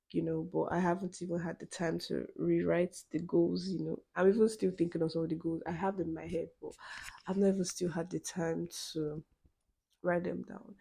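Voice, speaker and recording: A young woman, hosting her own podcast in a relaxed solo chat, full of "you know" fillers and quick laughs. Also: fairly low pitch, creaky, husky. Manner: chatty, many "you know" fillers, laughs between phrases. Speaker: podcast host.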